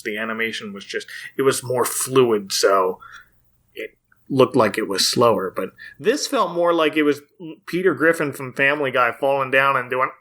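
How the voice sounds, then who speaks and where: A man's voice: medium pitch, bright, forceful tone. A man hosting a podcast, recapping something casually in an enthusiastic, amused way. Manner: enthusiastic, amused, laughs often while talking. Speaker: podcast host. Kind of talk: casual episode recap chat.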